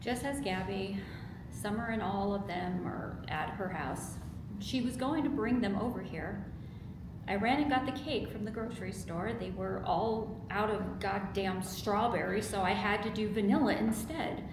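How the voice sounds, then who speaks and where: A woman's voice: mid-range voice, measured pace, close to an everyday voice. A woman playing a weary, irritated mother in her late thirties at a screenplay table read. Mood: weary, frustrated. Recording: echoey room with clear background noise.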